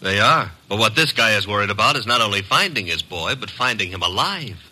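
An actor playing a breezy, slangy detective's sidekick who also narrates, in an old radio drama. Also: male voice, lowish voice, lively intonation, brisk, sharp tone, full character voice, low-quality recording. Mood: businesslike, wry. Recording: vintage radio broadcast.

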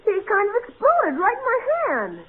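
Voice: very high-pitched, huge pitch swings, moderate pace, heavily acted. An actor playing an earnest, plaintive young son in 1940s radio comedy, on a tinny recording. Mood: indignant. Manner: protesting, plaintive.

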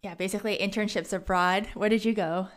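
A woman's voice: mid-range voice, fairly even intonation, a little fast. A woman hosting an interview, asking short questions and adding brief encouraging interjections. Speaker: podcast host.

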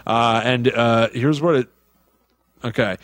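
Guy cohosting a movie podcast, medium pitch, really into it, super dry close recording. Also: steady tone, takes it slowly, no room sound. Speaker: podcast co-host. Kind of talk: casual movie-discussion podcast, reading out taglines and quotes.

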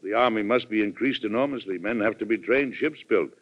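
A man playing a courteous, cautious wartime president with a measured, reserved delivery in an old-time radio drama. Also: fairly low pitch, natural voice. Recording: muffled vintage radio broadcast recording.

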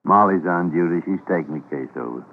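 An American actor playing a gruff, fatherly older senior doctor in a classic radio drama, speaking in a measured, emphatic way. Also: low-pitched. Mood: grave, clinical. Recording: muffled, hissy old radio recording.

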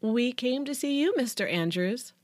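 A woman's voice: fairly high pitch, expressive, normal speed, no echo, voice pushed up, natural voice. A woman voicing a confident, teasing teenage cheerleader ringleader in an audiobook. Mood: coy. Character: bold, coy teenage cheerleader ringleader girl. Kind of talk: audiobook character dialogue.

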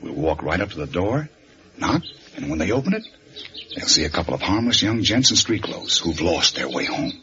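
An actor playing a commanding American crime-fighting hero in an old radio serial, brisk, authoritative and dryly wry. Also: male voice, sits low, very animated, sharp tone, full character voice. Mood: hushed, wry. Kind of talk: 1940s radio serial acting. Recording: noisy, muffled old radio recording.

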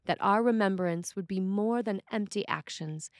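A woman reads a prepared sermon as a priest would. Her phrasing is deliberate and measured, at an average pitch for a woman. The sound is dry, with almost no room in it. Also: no room sound. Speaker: priest reading a written sermon. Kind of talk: scripted sermon reading.

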